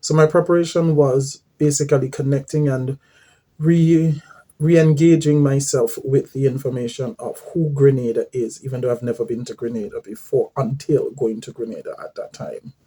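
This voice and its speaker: A man shares his missionary story in an earnest, measured way. His voice is dark and slightly husky, and he often pauses with fillers and restarts. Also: mid-range voice, steady tone. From Guyana. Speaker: former volunteer missionary. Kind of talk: personal testimony to camera.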